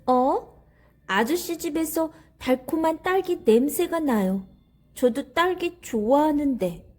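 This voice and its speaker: A woman's voice: high voice, expressive, slow pace. A woman voicing a shy, eager little neighborhood child in a children's storybook reading. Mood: curious, eager.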